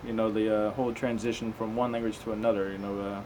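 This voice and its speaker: A man's voice: lowish voice, little pitch movement, quick pace, darkish tone, slight background noise. A man reading scripture aloud, stumbling over unfamiliar words and dropping in casual, conversational comments.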